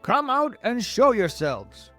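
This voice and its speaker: Man acting a blustering, high-pitched police chief. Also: expressive, slow, soft background music, exaggerated voice. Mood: commanding. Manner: loud, bossy, panicky exclamations. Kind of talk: storybook character acting.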